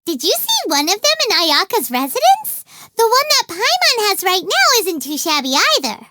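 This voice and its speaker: A woman voicing a tiny, excitable, naive fairy companion in a video game, with a squeaky childlike voice and bubbly manner. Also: normal speed, a little hiss or hum, full character voice. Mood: chatty. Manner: bubbly, speaks of self in third person. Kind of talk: video game character dialogue.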